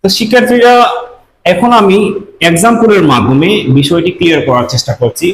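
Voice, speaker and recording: A man's voice: sits high, big pitch swings, fast talker, slight background noise, poor audio. A man teaching students in an explanatory, step-by-step manner, repeating key points as he goes. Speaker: English grammar teacher. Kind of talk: explanatory lesson addressed to students.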